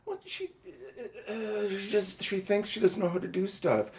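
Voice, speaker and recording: A man's voice: high voice, sing-song, normal speed, a loud crowd. A middle-aged man from North Dakota, co-hosting a podcast in casual chat, rambling through stories, swearing and breaking off mid-sentence. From North Dakota, USA. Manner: rambling storyteller, swears, self-interrupting. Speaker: podcast co-host. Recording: roomy echo.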